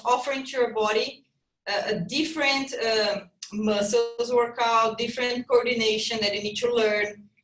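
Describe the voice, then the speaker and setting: A woman's voice: fairly high pitch, a bit bright, roomy sound, far from the mic, rough recording. A woman from Brazil with a Brazilian Portuguese accent, a fitness trainer giving earnest advice on a video call with frequent restarts. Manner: halting restarts, earnest coaching tone. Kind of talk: casual video call interview.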